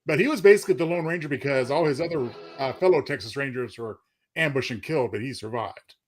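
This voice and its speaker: A man's voice: medium pitch, fast talker. A man co-hosting a casual chat about old-time radio. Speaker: co-host.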